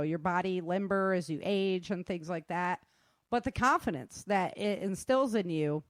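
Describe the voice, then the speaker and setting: A woman's voice: fairly low pitch, dry recording. A middle-aged woman talking informally as a self-defense instructor, acting out remarks as she tells stories. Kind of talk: casual informal talk.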